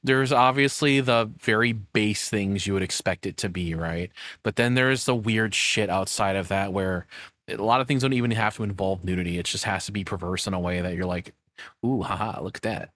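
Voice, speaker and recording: A man's voice: fairly low pitch, moderate pace, a bit bright, no room sound, studio quality. A man giving casual, rambling reaction commentary, often slipping into imagined quotes as he talks.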